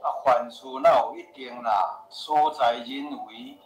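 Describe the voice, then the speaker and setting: An actor with a Taiwanese accent playing an earnest, insistent pharmacy owner and worried homeowner in a radio drama. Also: male voice, medium pitch, a little slow, close to an everyday voice. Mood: insistent, persuading. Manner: persuasive, plain-spoken, insistent. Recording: roomy echo with music behind.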